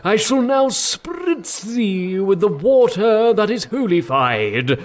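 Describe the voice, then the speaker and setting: A pompous male priest played by an actor in an audio comedy. His high voice rises and falls grandly as he draws out solemn, mock-biblical blessings at a crawl. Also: sing-song, exaggerated voice. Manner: solemn, drawn-out, archaic preaching.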